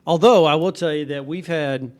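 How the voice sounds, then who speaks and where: Guy hosting a finance radio show, kinda high voice, easygoing talk, asks questions. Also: moderate pace, darkish tone. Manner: conversational, guides the talk with questions. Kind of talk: casual radio chat.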